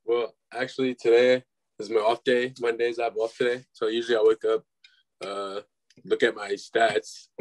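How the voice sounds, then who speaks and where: A man's voice: mid-range voice, level tone, moderate pace, dry recording, low-quality recording. Laid-back young man, dry close sound. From Milwaukee, Wisconsin, United States. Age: 20s. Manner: laid-back, says "bro", laughs between phrases. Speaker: guest, dubstep producer and DJ. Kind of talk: casual interview chat.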